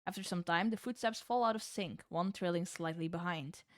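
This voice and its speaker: A woman's voice: on the low side, normal speed, no echo, clean studio sound. A woman streamer reading a visual novel aloud like a storybook, dropping in casual, playful, sweary asides. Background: music.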